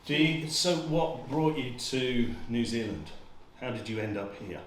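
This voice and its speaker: A man's voice: medium pitch, expressive, measured pace, echoey room, slight background noise, poor audio. A man from England hosting a podcast, asking easygoing questions and giving short encouraging replies. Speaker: podcast host. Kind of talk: casual interview.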